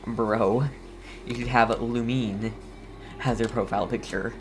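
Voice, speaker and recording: A video game streamer with a mid-pitched voice talks at an unhurried pace. The commentary is casual and rambling, with faint noise and typing behind it. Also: fairly even intonation. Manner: rambling, talks to self and viewers. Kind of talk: casual chatty gameplay commentary.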